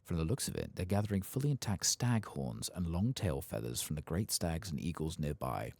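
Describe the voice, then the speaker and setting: A man doing soft-spoken, casual playthrough commentary as an ASMR gaming streamer, reading aloud and muttering asides. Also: low voice, sing-song, fairly quick, vocal fry, dry recording.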